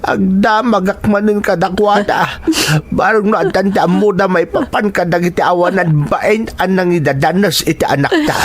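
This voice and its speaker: An elderly Ilocano father in a radio drama. His voice sits high for a man and sounds husky. He is protective of his family and weighed down with grief. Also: steady tone, moderate pace. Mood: grim, resolute. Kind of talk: Filipino radio drama acting.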